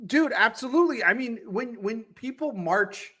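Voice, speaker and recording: A young American man with a high voice co-hosting a comedy podcast. He talks emphatically and profanely, ranting in bursts. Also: lively intonation, normal speed, sharp tone. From United States. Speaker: podcast co-host. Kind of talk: casual comedic podcast banter.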